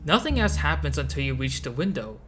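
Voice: mid-range voice, big pitch swings, brisk. A video essay host narrating from a script in a casual, joking manner. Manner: casual, joking, with playful exclamations. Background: music behind the voice.

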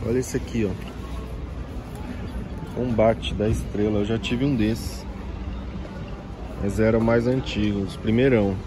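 A man's voice: on the low side, fairly even intonation, unhurried, pauses often. A man giving casual commentary while browsing an outdoor flea market, pointing things out as he goes. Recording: loud traffic noise behind.

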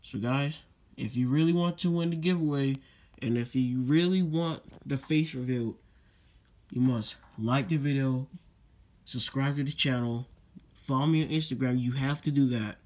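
A man's voice: mid-range voice, moderate pace, a little mellow. A teenage boy hosting his own video channel, talking casually with many fillers and a repeated "guys" while showing a disc case at his desk. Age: teens. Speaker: YouTube channel host. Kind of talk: casual vlog unboxing talk.

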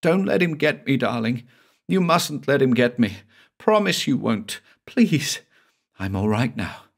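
A man voicing a terrified young woman who pleads desperately in a high, frantic voice. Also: big pitch swings, a little slow, gravelly, breathy edge, exaggerated voice. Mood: pleading desperately.

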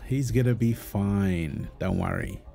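A man playing a calm, teasing, reassuring young husband and father in a natural, intimate audio roleplay, talking gently to his partner and baby. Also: fairly low pitch, slow, vocal fry, faint music behind. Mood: calm, soothing.

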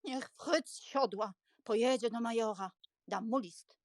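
An actress playing a flustered, pious, sentimental elderly spinster aunt in an audiobook drama, plaintive and fretful. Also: female voice, fairly high pitch, unhurried, dry recording. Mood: alarmed. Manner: plaintive, fretful, easily shocked.

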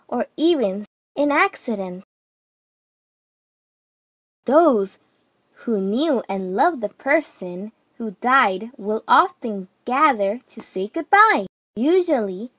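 A woman reading a children's picture book aloud, deliberately, phrase by phrase with pauses. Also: on the high side, no room sound.

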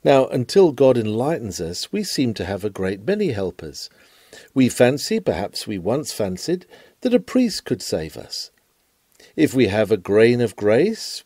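A man's voice: medium pitch, mellow, no echo. A man reading an old sermon aloud in an earnest, rhetorical and emphatic way. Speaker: sermon reader.

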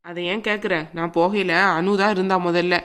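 A woman playing a gentle grandmother in a family drama, speaking in a soothing, colloquial, conversational way. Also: fairly low pitch, steady tone, fairly quick, smooth, close to an everyday voice. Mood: confiding, placating. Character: gentle, peacemaking grandmother, mother of a grown daughter.

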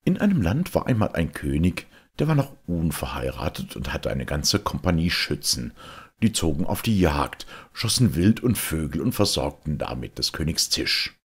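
Male storybook narrator, deep and very husky, expressive theatrical delivery. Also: normal speed. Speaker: audiobook reader. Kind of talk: storybook fairy-tale narration.

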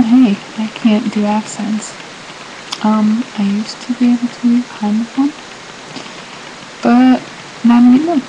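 Apologetic teen girl rambling on a webcam. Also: a little high. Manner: apologetic, rambling, many fillers. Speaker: vlogger. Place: small bedroom indoors. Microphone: laptop webcam mic. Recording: noisy webcam recording with hiss.

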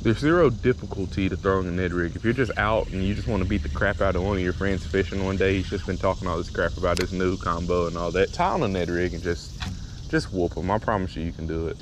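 A man's voice: low voice, noisy background. A young man talking casually to camera for his fishing vlog, joking and hyped up, outdoors by a pond and then out on a kayak. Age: young adult. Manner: fast, joking, hyped up and chatty. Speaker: fishing vlogger. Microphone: action camera, chest and handheld. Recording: music under the talk.

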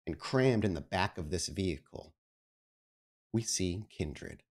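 A man's voice: deep voice, lively intonation, takes it slowly, a little mellow. A man speaking as host and game master of a tabletop roleplaying show, with an informal, enthusiastic manner. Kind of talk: casual announcements, then narrating a tabletop game.

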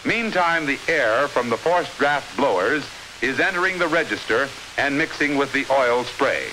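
An American man reading scripted 1950s instructional film narration in a measured, clearly enunciated, authoritative manner. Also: medium pitch, expressive. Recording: hissy 1950s film soundtrack.

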